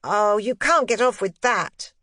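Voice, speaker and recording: A woman voicing a precocious, sweetly evasive young boy with a deliberate, teasing manner for a literary audiobook. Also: mid-range voice, lively intonation, sharp tone, no echo, clean studio sound. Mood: insistent, exultant. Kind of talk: audiobook character voice, literary ghost story.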